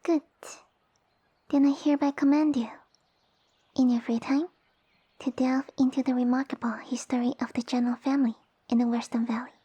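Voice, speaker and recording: Haughty young lamia heiress, played by a woman, high and breathy, pompous and bossy, for ASMR roleplay audio. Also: flat delivery, moderate pace, pauses often, dark tone. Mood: bossy, exacting. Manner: pompous, formal, commanding speech. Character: haughty, sassy young lamia noblewoman, tiny monster girl.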